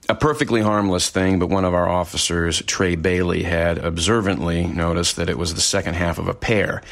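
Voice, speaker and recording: Police investigator: deep, gravelly, measured and factual, as if interviewed for a true crime audio drama. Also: male voice, steady tone, no echo. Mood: measured, matter-of-fact. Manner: measured, deliberate, matter-of-fact. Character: measured male small-town police investigator recounting a case.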